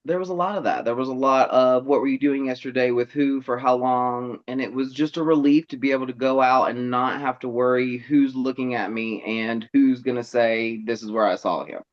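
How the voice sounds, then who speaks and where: A man in his thirties telling stories in casual chat, animated and laughing often, acting out other people's lines. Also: medium pitch, normal speed, few pauses, highs cut off. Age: 30s. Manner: animated, laughs often, mimics voices in quotes.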